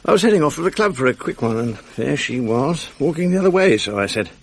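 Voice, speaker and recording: A man's voice: fairly high pitch, very animated, quick pace, breathy edge, exaggerated voice. An actor playing an evasive middle-aged married man with a British accent in a naturalistic radio drama, speaking in an offhand, dryly understated way. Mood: offhand, casual. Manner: offhand, hesitant, dry understatement. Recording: faint hiss on archive radio recording.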